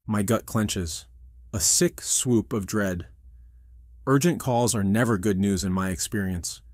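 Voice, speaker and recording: A low, closely recorded male voice acted by a man tells his own story as a troubled veteran turned restaurant boss. The delivery is intense and literary, made for dramatic audio fiction. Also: measured pace, darkish tone, no room sound, clean studio sound, natural voice. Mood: stricken, tense. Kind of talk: dramatic first-person scripted narration.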